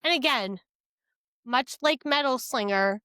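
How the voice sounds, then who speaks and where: A woman's voice: high-pitched, unhurried, pushed and bright, clean tone, dry recording, clean studio sound. A woman co-hosting a podcast, chatting casually about books in a blunt, emphatic way with some swearing. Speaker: podcast co-host. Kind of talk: casual book review chat.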